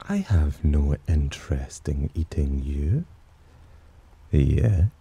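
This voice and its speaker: A man playing a teasing, possessive demon in an ASMR roleplay, with a breathy, husky voice and a smug, theatrical drawl. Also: extremely low pitch, dark tone, full character voice. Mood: amused menace. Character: teasing, possessive male demon sheltering a human. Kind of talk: ASMR roleplay, slow intimate character acting.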